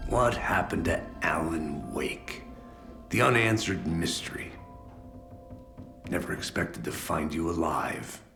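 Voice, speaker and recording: An actor playing a gruff, suspicious FBI agent in a video game, deadpan and confrontational. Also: male voice, low-pitched, takes it slowly, music in the background, exaggerated voice. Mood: suspicious, brooding. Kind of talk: hardboiled video game cutscene acting.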